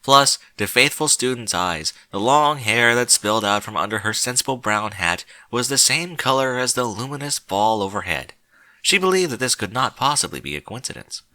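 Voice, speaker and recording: A man reading a short story aloud in an expressive, theatrical narrator's style with wry, emphatic phrasing. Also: mid-range voice, no room sound.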